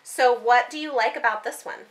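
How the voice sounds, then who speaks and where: A woman's voice: fairly high pitch, a little fast. A woman in her thirties chatting casually and encouragingly, asking coaxing questions as if to a young child and echoing back answers. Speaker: book vlogger and mother interviewing her young child. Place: small room with bookshelves, indoors.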